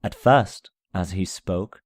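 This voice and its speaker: A man narrating a gothic tale as a dramatic reading, in a solemn, measured manner with deliberate phrasing. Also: low voice, a little mellow, no echo, clean studio sound. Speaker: amateur audiobook narrator.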